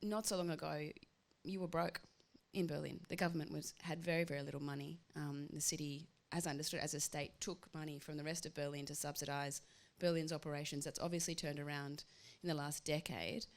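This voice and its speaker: Australian woman with a very low gravelly voice. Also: fairly even intonation, fairly quick. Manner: enthusiastic, chatty, questions with fillers. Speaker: panel respondent, government official. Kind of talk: panel discussion.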